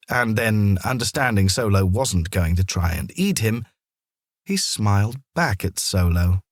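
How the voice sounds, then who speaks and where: A man reads a children's story in a lowish voice with a husky grain. His delivery is gentle and expressive, with plenty of melodic rise and fall as he tells the tale. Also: no echo, studio quality. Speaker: storybook narrator. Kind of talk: scripted children's story narration.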